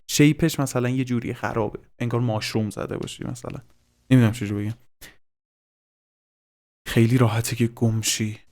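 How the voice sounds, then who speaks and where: A man's voice: medium pitch, fairly even intonation, pauses often, a little mellow. Casual man reacting to horror videos. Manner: informal, swearing, peppering Persian with English slang.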